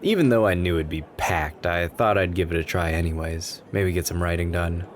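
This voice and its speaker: A man plays a bashful, kind-hearted writer making nervous small talk in an ASMR roleplay. His voice is low and soft, with an earnest, rambling delivery. Noticeable coffee shop ambience sits behind him. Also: fairly quick, a little hiss or hum, natural voice. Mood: chatty, warm. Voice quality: gentle, soft-spoken. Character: shy, sweet, nervous writer chatting up a café stranger.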